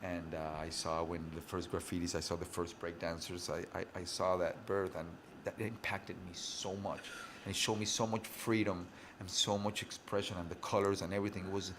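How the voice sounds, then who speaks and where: A man's voice: low-pitched, little pitch movement, moderate pace, noisy background. A man in his forties from Venezuela, a guest telling his life story in long, run-on stretches full of restarts. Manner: talks in long run-on stories with many restarts. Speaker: interview guest, DJ and rapper. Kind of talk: rambling personal storytelling.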